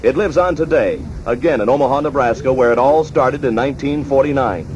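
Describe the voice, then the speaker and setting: A man's voice: mid-range voice, brisk, darkish tone. A man with a formal announcer delivery, reading scripted narration in the manner of a 1960s radio documentary. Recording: dull, noisy archival 1960s radio recording.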